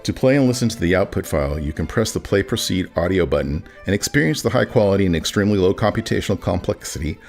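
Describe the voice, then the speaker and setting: Man, low voice, scripted software tutorial narration, music behind. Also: lively intonation, fast, vocal fry, hardly any pauses. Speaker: narrator, product demonstrator. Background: background music.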